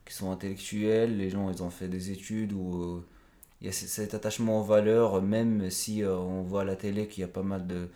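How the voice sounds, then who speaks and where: Male podcast guest, low and warm, earnest, thinks aloud at length, recorded in a roomy space. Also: little pitch movement, normal speed. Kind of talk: conversational interview.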